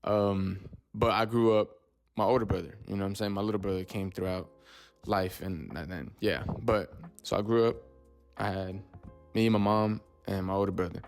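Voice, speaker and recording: Rambling young man, low voice. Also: steady tone, normal speed, soft background music. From Texas, USA. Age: 20s. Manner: loose and rambling, says "you know what I'm saying" often. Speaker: podcast host. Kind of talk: casual solo podcast talk.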